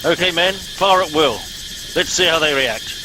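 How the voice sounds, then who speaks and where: A man playing a pompous middle-aged captain in a comedy radio play, brisk, officious and commanding. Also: a little high, noisy background, lightly acted.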